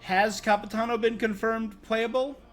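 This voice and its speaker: A man's voice: very high-pitched, fairly even intonation, moderate pace. A man streaming a game, chatting casually and thinking aloud in a rambling, opinionated way. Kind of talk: casual livestream gaming chat. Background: game music.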